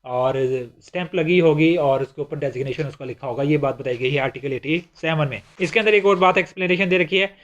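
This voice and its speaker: A man's voice: a little high, fairly quick, hardly any pauses, lo-fi sound. A man with a Pakistani accent explaining legal material like a lecturer, in a didactic way, restating his points. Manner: didactic, repeats and rephrases points. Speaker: law lecturer.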